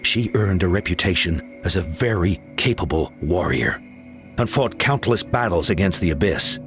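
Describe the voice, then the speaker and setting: Low voiced caring game chief, grave, lots of rise and fall, soft music behind. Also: male voice, lively intonation, moderate pace. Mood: solemn, sorrowful. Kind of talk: dramatic video game storytelling.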